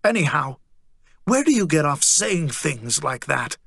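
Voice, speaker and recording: A man narrating a story and voicing a sharp-tongued, blunt female guard captain. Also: on the high side, big pitch swings, a little slow, vocal fry, breathy edge, no echo, clean studio sound, exaggerated voice. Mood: indignant. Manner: blunt, demanding.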